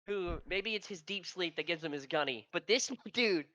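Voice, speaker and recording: Male co-host, nasal and stuffy, sharp tone, dull lo-fi sound, swears and rambles. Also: high-pitched, moderate pace, no room sound. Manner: profane, rambling storyteller. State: stuffy from allergies. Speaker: podcast co-host. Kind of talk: casual esports podcast chat.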